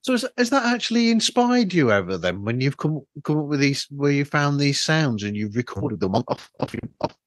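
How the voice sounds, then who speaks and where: A man's voice: on the high side, very animated, normal speed, few pauses, no room sound. A man hosting a casual interview, asking rambling, roundabout questions through a flawed microphone. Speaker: podcast host, interviewer. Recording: flawed microphone sound, dry.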